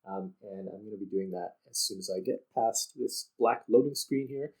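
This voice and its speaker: A man's voice: lowish voice, moderate pace, poor audio. A man hosting a gaming video, giving casual unscripted commentary and explaining things directly to the viewers.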